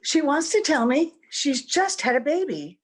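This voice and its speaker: A woman's voice: sits high, very animated, a little slow. A woman playing a youthful, sweet, earnest wife in old-time radio drama, with a theatrical delivery. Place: at home, recorded over video call.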